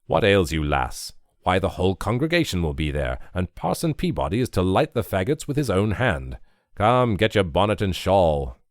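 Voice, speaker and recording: A man's voice: sits low, sing-song, moderate pace, no room sound, clean studio sound. A man doing a pompous, stern old churchman. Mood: lordly. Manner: pompous, preachy.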